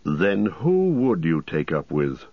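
A male reader plays a grave, commanding ranger from a fantasy storybook. The voice is low and rough-edged. He talks quietly and bluntly, weighing each phrase, close and dry. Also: wildly swooping pitch, takes it slowly, dry recording, dull audio, full character voice. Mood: stern, scornful.

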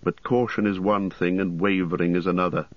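Grim serious ranger guy, low husky voice, read by a man, dull lo-fi sound. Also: flat delivery, a little fast, no room sound, natural voice. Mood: grim, firm. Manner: grave, firm.

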